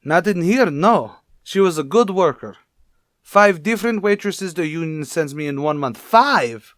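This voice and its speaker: A man's voice: fairly high pitch, lively intonation, unhurried, fairly bright tone, dry recording. A man playing a mournful, emphatic restaurant boss answering police questions in a radio crime drama, plaintive and repetitive. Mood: distressed. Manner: emphatic, plaintive, repeats himself. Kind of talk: old-time radio crime drama acting.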